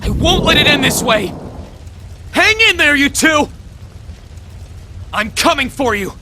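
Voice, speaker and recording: A man's voice: very high voice, animated, a little slow, sharp tone, heavily acted. A man playing an earnest, desperate young hero swordsman in a video game, intense and emotional. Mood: desperate, shouting. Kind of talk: dramatic video game voice acting.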